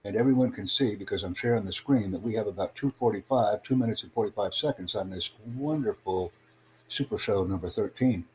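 A man hosting a livestream podcast, addressing callers in a deliberate, instructive way. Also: lowish voice. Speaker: podcast host. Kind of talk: livestream chat with online callers. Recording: clearly noisy, humming background.

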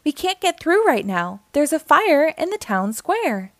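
A woman's voice: sits high, very animated, measured pace, no room sound, pitched above the performer's own voice, full character voice. A woman voicing an urgent fire chief and crew hollering orders in a children's storybook read-aloud. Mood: worried, urgent.

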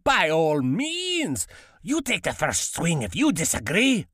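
A man's voice: fairly high pitch, wildly swooping pitch, takes it slowly, fairly bright tone, no room sound, studio quality, exaggerated voice. A man playing a surly, blustering dwarf tunneler in a fantasy audio comedy. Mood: resigned. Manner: gruff, blustering. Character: surly, menacing dwarf tunneler, cowardly underneath.

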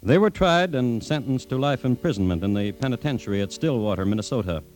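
An actor playing a droll, solemn crime-history storyteller, narrating a 1950s radio drama in deliberate, dry, clipped phrases. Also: male voice, medium pitch, expressive, darkish tone, faint music behind, lightly acted. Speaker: host-narrator.

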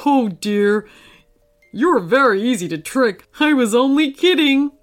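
Woman voicing a high, whiny storybook best friend. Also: lively intonation, measured pace, faint music behind. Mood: teasing. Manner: complaining, exclamatory. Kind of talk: children's storybook character voice.

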